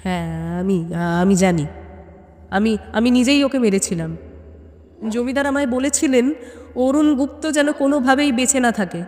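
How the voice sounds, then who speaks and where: A man's voice: extremely high pitch, voice pushed up. A woman voicing a frail, fearful and remorseful old man in an audio story, with a trembling voice and stammered repeats. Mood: guilty confession. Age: older. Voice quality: trembling, halting voice. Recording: long hall reverb.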